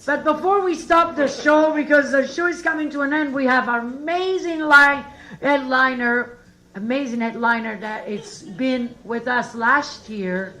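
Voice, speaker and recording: A woman's voice: on the high side, pushed and bright, low-quality recording. A woman from Italy doing conversational stand-up comedy as the host of a show.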